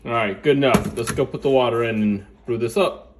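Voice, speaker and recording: A man's voice: mid-range voice, a little fast, background noise. A man, casually reviewing coffee in his home kitchen and rambling as he works, recorded on a phone camera. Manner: rambling, restarts often, talks while working.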